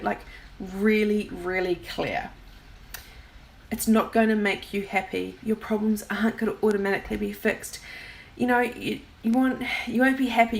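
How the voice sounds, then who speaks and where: Gravelly New Zealand woman in her forties. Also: mid-range voice. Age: 40s. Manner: warm, encouraging, chatty with frequent "like" and "um". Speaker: wellness coach. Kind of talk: direct-to-camera chat.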